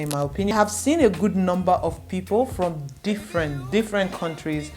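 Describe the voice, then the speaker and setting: A young adult woman from Nigeria, a native Igbo speaker, speaks in a somewhat low voice as she reassures her viewers. She is earnest and steady, and she leans on repetition to drive her points home. Faint music plays quietly under her speech. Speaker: vlogger. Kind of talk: direct-to-camera advice vlog.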